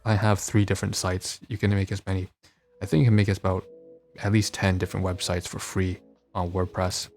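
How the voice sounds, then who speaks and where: A man's voice: sits low, flat delivery, fairly quick, a little mellow, faint music behind. A man hosting a casual, unscripted screen-share walkthrough, rambling along and often correcting himself. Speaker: podcast host demonstrating his websites.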